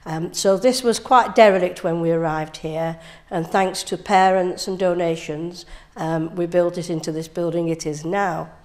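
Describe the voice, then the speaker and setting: An older woman from England, a cub scout leader giving an earnest interview in a scout hall, halting with many fillers. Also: on the low side, steady tone, a little mellow.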